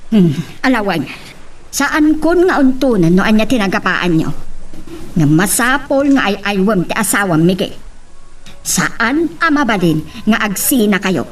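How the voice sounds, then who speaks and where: A woman's voice: mid-range voice, sing-song, measured pace. A woman playing a stern, protective mother in an Ilocano audio drama, with an Ilocano accent. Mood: stern, concerned. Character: stern, protective mother scolding a young couple. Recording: distant mic with faint noise.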